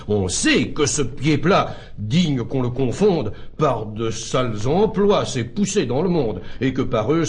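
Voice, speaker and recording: An actor playing an indignant, uncompromising hater of humankind, declaiming classical French verse with vehement emphasis. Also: male voice, lowish voice, darkish tone, slight background noise, natural voice. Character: indignant, uncompromising man who hates all humankind.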